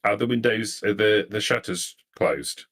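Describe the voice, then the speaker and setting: Low-voiced man, hesitant game master. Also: unhurried, fairly bright tone. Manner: hesitant, searching for words, repeating himself. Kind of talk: improvised narration of a tabletop roleplaying game.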